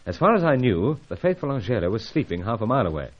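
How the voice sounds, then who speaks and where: An actor playing a suave, steady secret agent in an old radio adventure serial, speaking in a calm, gallant, clipped manner. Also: male voice, mid-range voice, fast talker. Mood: grave narrating. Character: suave, steady British secret agent hero, adult man. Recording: dull, lo-fi old radio recording.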